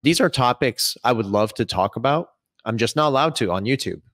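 A man's voice: medium pitch, animated, brisk, a bit bright, no room sound, studio quality. A man in his thirties from Saudi Arabia, hosting an interview over a video call with long, opinionated questions. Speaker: interviewer and host. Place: small home room, indoors.

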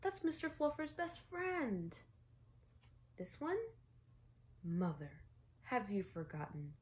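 A young woman voicing a dramatic little boy who pleads and bargains over his toys, in a raised, excited storybook voice. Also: medium pitch, slow. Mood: indignant.

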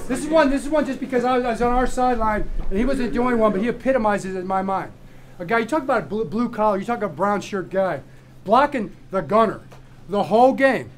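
A man's voice: high-pitched, animated, quick pace. A middle-aged man, a head coach, giving an emphatic, rousing, repetitive speech to his players in a crowded locker room. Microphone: far camera mic. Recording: crowd noise, far camera mic. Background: crowd of players and noise.

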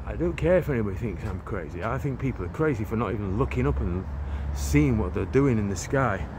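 A man's voice: lowish voice, animated, a little fast. A man in his forties from England, praying and preaching fervently while walking down a residential street, filming on a phone held at arm's length, often rambling and restarting his words. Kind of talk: walk-and-talk prayer and preaching vlog. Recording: outdoor street noise with passing traffic.

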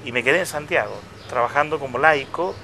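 A man in his fifties, a parish priest, talking in a relaxed interview with hesitations and restarts. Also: mid-range voice, noisy background. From Chile. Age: 50s. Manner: unhurried, reflective, with many hesitations and restarts. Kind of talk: casual interview conversation. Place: outdoor restaurant patio. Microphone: lapel mic.

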